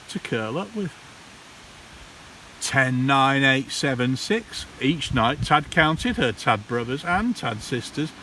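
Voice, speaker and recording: Guy teacher reading a kids story, slightly high voice, super expressive, dramatic pauses, bit far from mic w/ faint hiss. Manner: theatrical, deliberate, dramatic pauses. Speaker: primary school teacher. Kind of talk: expressive children's storybook read-aloud. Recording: distant mic, hissy background noise.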